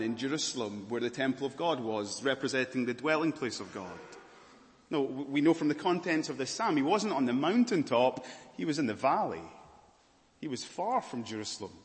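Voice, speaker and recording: A Scottish man preaching a sermon, earnest, moving between emphatic lines and hushed, slower ones. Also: medium pitch, expressive, in a large hall. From Scotland.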